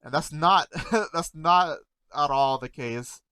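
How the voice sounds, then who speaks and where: Man stuttering, halting over words. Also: on the high side, sharp tone, clean tone, dry recording. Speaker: content creator reacting to memes. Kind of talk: casual talk to camera.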